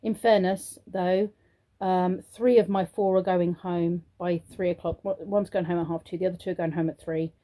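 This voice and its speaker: A woman's voice: fairly low pitch, dark tone. A young British woman vlogging at home, chatty and rambling with many ums, sounding throaty and congested from a sore throat. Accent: British English. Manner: chatty and rambling, many ums, sing-song praise when talking to children. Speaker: vlogger and childminder. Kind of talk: casual vlog chat to camera. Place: at home, indoors.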